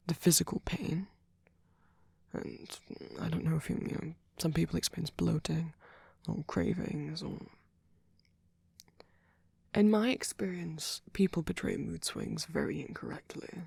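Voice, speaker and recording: A man playing a sweet, gentle vampire boyfriend in an ASMR comfort roleplay, speaking haltingly in a breathy, husky whisper. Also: on the high side, very animated, dry recording, studio quality, full character voice. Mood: soft, sympathetic.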